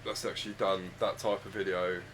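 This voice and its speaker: A man with a husky, croaky voice, hoarse from a chest cold, chatting casually and rambling hesitantly while streaming a game from home on a desk microphone. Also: deep voice, flat delivery, a little fast, noisy background. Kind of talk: casual live-stream chat. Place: home room with his dog.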